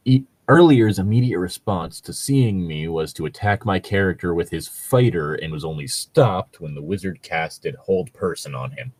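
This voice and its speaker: A man in a casual, jokey chat. His voice sits fairly low and has a husky edge, swinging widely in pitch as he talks. The sound is close and dry. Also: no echo. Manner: animated, jokey, swears casually. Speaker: co-host chatting about tabletop roleplaying.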